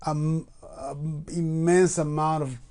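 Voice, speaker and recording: A man's voice: a little high, steady tone, takes it slowly, a little mellow. A man hosting a live-streamed interview with a musician, talking casually with many fillers and restarts. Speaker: host.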